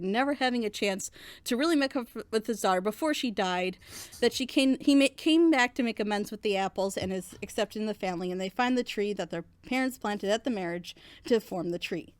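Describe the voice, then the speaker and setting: A woman's voice: mid-range voice, no room sound. A woman reviewer speaking in a casual, opinionated way, with emphatic, rambling explanations and rhetorical questions. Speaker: reviewer on a reaction show.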